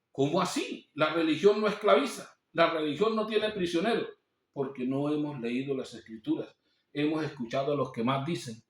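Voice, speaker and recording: A male pastor preaching a sermon, asking rhetorical questions and quoting scripture. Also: fairly high pitch. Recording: echoey room.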